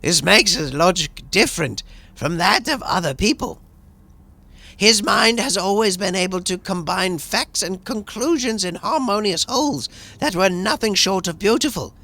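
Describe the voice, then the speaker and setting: A man's voice: sits high, animated, normal speed, pushed and bright, pitched above the performer's own voice, exaggerated voice. A man voicing a solemn, zealous cyborg priest in a video game, speaking in a formal, ceremonious, preachy manner. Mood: reverent. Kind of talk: theatrical video game dialogue.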